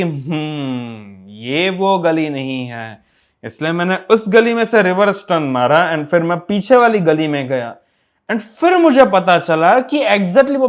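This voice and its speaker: A young man from Gujarat with a Gujarati accent, telling a story casually to camera as a fitness vlogger, mixing in English words and pausing often. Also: fairly high pitch. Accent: Gujarati-accented Hindi. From Gujarat, India. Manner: chatty, mixes English words into Hindi, many pauses.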